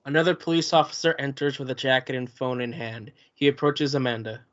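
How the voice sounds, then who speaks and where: A man reads the stage directions aloud at a table read, in a plain and steady voice. The recording is muffled and lo-fi. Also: medium pitch, a little fast. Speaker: narrator reading stage directions.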